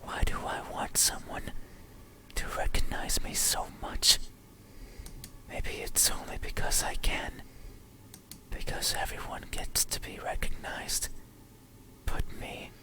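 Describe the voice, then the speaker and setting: A performer voicing an eerie, melancholic faceless creature in a horror game, speaking in a halting, intense whisper. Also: sharp tone. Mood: brooding, wistful. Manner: slow, halting, stiff and intense. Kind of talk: whispered horror ASMR monologue.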